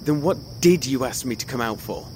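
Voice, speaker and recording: Man acting young ex-boyfriend, audio drama, middle pitch, bitter, sardonic, hurt, noisy night insect ambience. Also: animated, fairly quick, darkish tone, natural voice. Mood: irritated. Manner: sardonic, dismissive, clipped.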